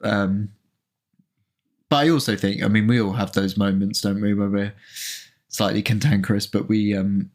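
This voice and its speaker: A man's voice: fairly low pitch, fairly even intonation, moderate pace, pauses often, darkish tone. A man co-hosting an informal film commentary, chatty and playful with a wry way of talking. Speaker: co-host.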